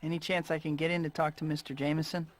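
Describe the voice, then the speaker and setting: An actor playing an earnest, harried young student hero in a video game cutscene, boyish and well-meaning. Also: male voice, a little high, flat delivery, quick pace, natural voice. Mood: puzzled. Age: young adult.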